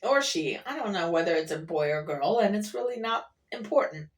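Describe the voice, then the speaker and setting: A woman's voice: mid-range voice, expressive, a little fast, distant mic. A woman vlogger telling rambling, casual stories in a chatty, folksy and playful way. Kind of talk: casual rambling storytelling to camera. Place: at an airport workplace.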